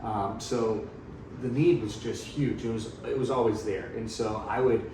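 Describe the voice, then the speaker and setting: Male guest, 30s, relaxed and rambling, low voice, distant echoey noisy recording. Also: a little slow. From grew up in Thailand. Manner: relaxed, rambling with frequent fillers. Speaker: missionary guest. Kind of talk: casual storytelling. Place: room in Thailand.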